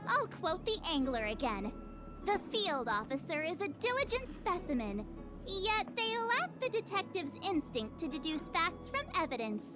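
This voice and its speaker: A woman's voice: extremely high pitch, big pitch swings, normal speed, music in the background. A woman voicing an eager, bubbly young girl who plays amateur detective in a video game. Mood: smug, playful. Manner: perky, theatrical.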